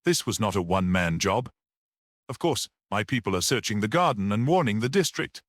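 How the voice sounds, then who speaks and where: Grave, assured detective for a classic mystery storybook, read by a male narrator, businesslike, raised a little, lively intonation. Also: lowish voice, fairly quick, no echo, studio quality.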